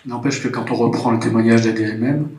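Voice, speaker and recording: A man's voice: mid-range voice, steady tone, quick pace, a little mellow. A man answering back from a workshop audience, conceding a point. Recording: distant, noisy roomy hall.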